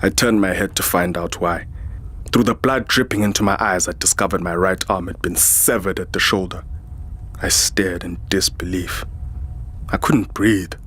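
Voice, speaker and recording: An actor playing a weary, hot-tempered military security chief in a science fiction audio drama, speaking in a terse, dramatic way. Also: male voice, sits low, steady tone, normal speed, fairly bright tone, breathy edge. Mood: tense narration. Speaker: lead actor and first-person narrator. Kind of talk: audio drama acting and narration.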